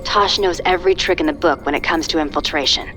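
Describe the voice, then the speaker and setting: A woman's voice: sits low, quick pace, music in the background, close to an everyday voice. A woman voicing a cool, determined psychic covert agent in a video game, controlled and military in tone. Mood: businesslike briefing. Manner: controlled, crisp, military briefing tone. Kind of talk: video game cutscene acting.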